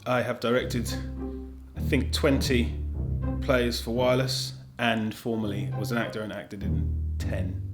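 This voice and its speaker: Mid-pitched man over plucked guitar music. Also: little pitch movement, a little slow. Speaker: director and former actor. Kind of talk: interview self-introduction.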